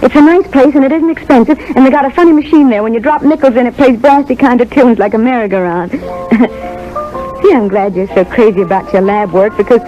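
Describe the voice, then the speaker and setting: An actress playing a frank, spirited young student nurse in a 1930s radio drama, plain-spoken and self-deprecating. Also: female voice, a little high, fairly quick, soft background music, natural voice. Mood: warm, eager. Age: young adult. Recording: muffled 1930s radio broadcast recording.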